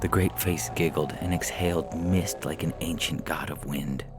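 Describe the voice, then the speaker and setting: A man reading scripted narration as a story podcast's reader, in a theatrical, deliberate manner. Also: rumbling low, fairly even intonation. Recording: music behind the voice.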